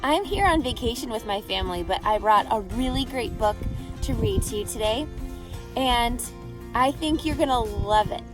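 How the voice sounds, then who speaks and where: A woman reading a picture book aloud as a teacher to children, expressive and carefully phrased, pausing to put questions to her listeners. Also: a little high, music in the background.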